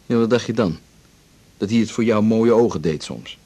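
A practical husband in a radio drama, with a low, husky voice. He is probing and a touch sardonic, halting when unsure of his words. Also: mellow.

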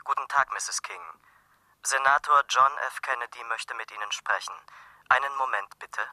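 Crisp-edged and very bright, a polite male aide in a radio drama. He sounds businesslike and formal, in a dry, close recording. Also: mid-range voice, normal speed, dry recording, rough recording.

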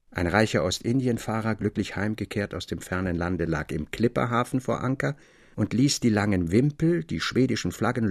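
Low-voiced man narrating a classic tale. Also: slightly rough, no room sound, studio quality. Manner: expressive, articulate storytelling. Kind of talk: scripted literary audiobook narration.

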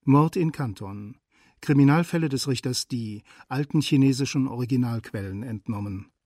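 A man's voice: mid-range voice, normal speed, darkish tone, no room sound, studio quality. A man announcing the title and cast of a radio drama in a scripted style.

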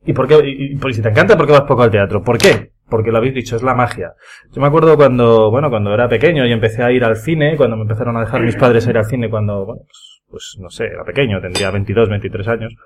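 A man's voice: mid-range voice, fast talker, a little hiss or hum. A man with a Madrid Spanish accent chatting casually with friends as a podcast panelist, emphatic and often repeating words for emphasis. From Spain. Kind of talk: casual chat among friends.

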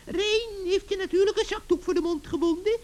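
A man's voice: very high voice, fairly quick, a little mellow, voice pushed up. A man voicing a wicked old female witch in a children's radio play, gleeful, theatrical and mock-sweet as she cackles and taunts. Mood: mocking delight.

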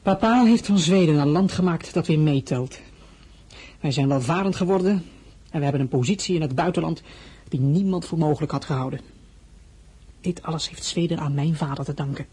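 An actor playing a young crown prince in a radio play, formal and earnest, sometimes getting heated. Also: male voice, a little high, a little fast, dark tone, slightly rough.

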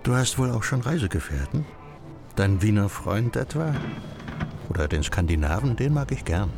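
An actor playing a wordy, resigned, ironic student friend in a radio drama, speaking in a measured, dry manner. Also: male voice, on the low side, sing-song, gravelly, music in the background. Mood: musing, teasing. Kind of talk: literary radio drama acting.

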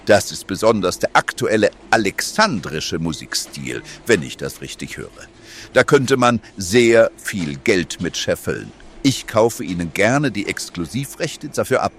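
A self-important music mogul in a comedy radio play, acted by a man. The voice is on the low side and swoops theatrically, greedy and pleased with itself. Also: very animated, measured pace, a bit bright, heavily acted. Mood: pleased, businesslike. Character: smug, greedy male boss of a music publishing house.

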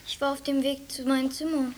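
A woman's voice: sits high, little pitch movement, brisk, a little mellow, slight background noise, close to an everyday voice. A woman playing a dreamy, earnest schoolboy who longs for the sea in a German radio drama. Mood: earnest wondering. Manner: earnest, wondering.

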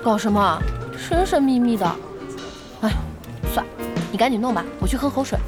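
An actress plays a chummy, impatient young female gamer. Her voice is high and very animated, full of perky curiosity. This is an audio drama with music behind her. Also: moderate pace, natural voice. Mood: questioning, impatient.

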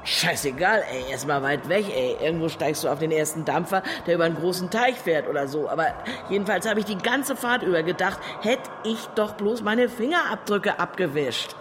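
A man's voice: high voice, lively intonation, a little fast, noisy background. Bitter, swooping cynical man. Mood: rueful. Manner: colloquial, sardonic. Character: bitter, cynical male ex-fugitive who drinks. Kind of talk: naturalistic radio drama acting.

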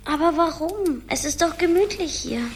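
A candid, plucky little girl, in a radio play. The voice is high and childlike, with faint background noise. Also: animated, normal speed. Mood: sleepy, pouting. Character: little girl, sleepy, naive, plucky younger sister. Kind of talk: German radio play acting.

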